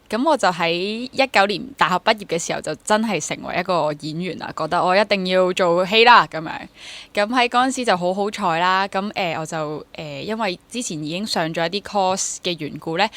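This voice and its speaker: A woman's voice: fairly low pitch, a little fast, fairly bright tone. A woman in her twenties with a Hong Kong Cantonese accent, talking candidly and self-deprecatingly as a guest in a casual interview. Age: 20s. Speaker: guest, stage actor.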